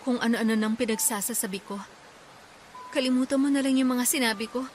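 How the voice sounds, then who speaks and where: A woman's voice: fairly high pitch, a little fast, a little mellow, a little hiss or hum, natural voice. An actress playing a frightened, devoted young woman in a Filipino radio drama, speaking with earnest emotion. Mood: flustered, apologetic. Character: frightened, devoted young woman confessing love amid a storm.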